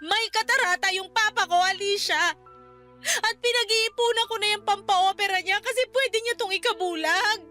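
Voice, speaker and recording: A betrayed young Filipino housemaid, very high and bright in voice. She speaks with hurt pride and tearful, angry reproach, in radio drama style over a music bed. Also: moderate pace. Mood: hurt, reproachful.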